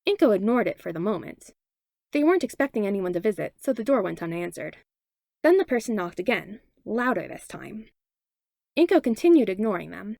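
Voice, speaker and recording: A woman reading fan fiction aloud as a podfic narrator, with expressive, animated storytelling. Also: mid-range voice, fairly quick, gravelly, no echo, studio quality. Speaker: podfic reader.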